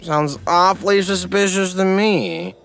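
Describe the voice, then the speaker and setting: A man narrating a scripted comedy parody in an animated, tongue-in-cheek manner. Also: sits high, measured pace, soft background music.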